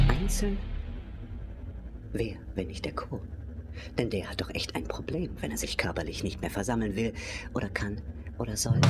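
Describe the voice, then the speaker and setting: A woman's voice: extremely low pitch, breathy edge. A woman narrating an audio drama in a theatrical, wry and musing way, often slipping towards a whisper.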